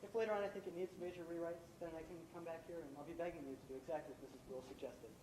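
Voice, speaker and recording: A man's voice: fairly high pitch, steady tone, rapid-fire, natural voice. A man playing a polite, earnest young aspiring playwright in an amateur stage play. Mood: earnest, deferential. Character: eager, deferential young male aspiring playwright. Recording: echoey stage recording, clear noise.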